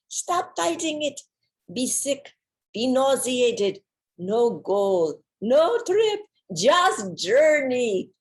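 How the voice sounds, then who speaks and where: A woman performs an inner guiding voice from a stage monologue, pitched high above ordinary talk. It is serene yet insistent, intoning short commands slowly and deliberately. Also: a little mellow, heavily acted. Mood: intoned, commanding.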